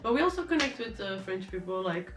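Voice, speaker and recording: Young female guest, native Croatian speaker, jokey casual storyteller, mid-pitched, roomy sound with music behind. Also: big pitch swings, fast. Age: young adult.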